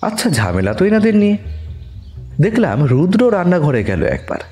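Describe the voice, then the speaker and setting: A man's voice: high voice, wildly swooping pitch, normal speed, warm tone, exaggerated voice. A man playing the detective's companion, narrating a mystery story expressively in an audio drama. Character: detective's male companion, first-person narrator, puzzled.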